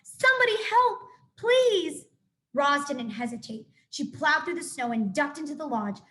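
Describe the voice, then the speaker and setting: A woman teacher reads a children's novel aloud, telling the story with expression. Her voice is fairly high. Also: moderate pace, sharp tone. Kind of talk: children's book read-aloud.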